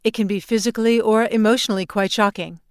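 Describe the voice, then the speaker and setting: A woman's voice: medium pitch, fairly even intonation, quick pace, no room sound, clean studio sound, close to an everyday voice. A woman acting in an audio play as a composed, mysterious recruiter who speaks formally and precisely. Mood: calm, precise. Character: composed, formal, mysterious woman running secret time-travel missions.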